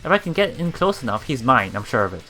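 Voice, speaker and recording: Bright-voiced man reading a game aloud. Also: medium pitch, very animated, poor audio. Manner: halting, stumbles over words and repeats them. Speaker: let's play streamer. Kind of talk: reading visual novel text aloud with off-the-cuff reactions. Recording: music behind the voice. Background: game music.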